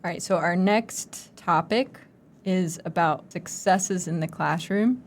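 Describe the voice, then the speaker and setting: A young woman from the United States, hosting a casual chat and telling stories in a lively way with many filler words. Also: fairly low pitch, slow pace, a little hiss or hum. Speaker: podcast host.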